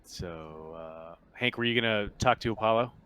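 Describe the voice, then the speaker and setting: A man narrating a tabletop roleplaying game as game master, improvising in an informal, halting way. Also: on the low side, pushed and bright, smooth.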